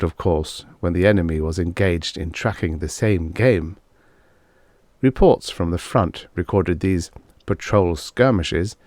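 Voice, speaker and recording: A man with a low voice narrates a vintage story as an audiobook. His delivery is measured and formal. The recording is close, with no room sound at all. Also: darkish tone, dry recording. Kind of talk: scripted narration of vintage science fiction stories.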